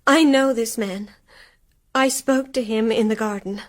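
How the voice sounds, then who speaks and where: A woman acting an anxious wife in a radio play, with a fairly high voice and slow, deliberate delivery. She is hushed and intense, a woman stricken by a ghostly truth. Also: steady tone, natural voice. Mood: hushed dread.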